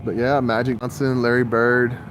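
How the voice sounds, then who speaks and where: A man's voice: mid-range voice, little pitch movement, normal speed. A man in his forties talking in a rambling monologue that jumps from topic to topic, with frequent swearing. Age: 40s. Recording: outdoor traffic noise.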